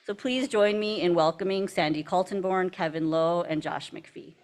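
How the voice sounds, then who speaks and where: A woman opens a university panel with a formal, measured reading of the speakers' introductions. Her voice sits a bit low. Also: very noisy. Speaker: event moderator. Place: university event hall.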